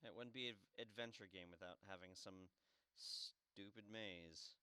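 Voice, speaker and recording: A man's voice: fairly low pitch, a little slow, pushed and bright, clean tone, no room sound. A man giving casual, dry and mischievous commentary as he plays a game. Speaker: gamer, let's play host.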